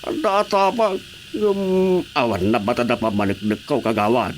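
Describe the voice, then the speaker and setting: A man's voice: medium pitch, big pitch swings, normal speed, close to an everyday voice. A man playing a flustered older villager with an Ilocano accent in a radio drama comedy. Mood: flustered, complaining. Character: flustered older male villager reporting missing goats. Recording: faintly noisy radio drama track.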